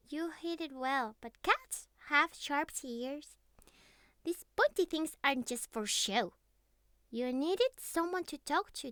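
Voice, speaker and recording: A woman playing a clingy, teasing young catgirl best friend in an intimate roleplay ASMR, speaking softly and cutely with breathy murmurs. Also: high voice, dry recording, heavily acted. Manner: soft, cutesy, breathy sighs and murmurs, slow. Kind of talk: intimate roleplay ASMR, scripted monologue to the listener.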